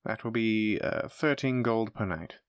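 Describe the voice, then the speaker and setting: A man's voice: lowish voice, a little slow, smooth, no echo, close to an everyday voice. A man playing a polite, eager demon front desk clerk in a scripted roleplay, with formal, effusive hospitality patter. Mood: cheerful, informative. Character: courteous, eager demon hotel receptionist, smooth salesman. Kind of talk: scripted roleplay audio addressed to the listener.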